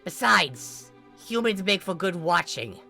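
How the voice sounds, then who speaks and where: A laid-back female serpent, voiced by a woman reader from a comic story. She speaks softly and casually, with frank, wry explanations and a bright tone over faint music. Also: fairly low pitch, big pitch swings, a little slow, creaky, exaggerated voice. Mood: wry, matter-of-fact. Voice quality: soft.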